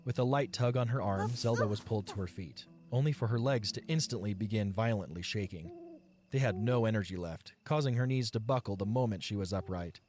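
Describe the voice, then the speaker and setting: A man narrates a scripted audio story in a fairly low voice. Music plays clearly behind his storytelling. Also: brisk, a little mellow.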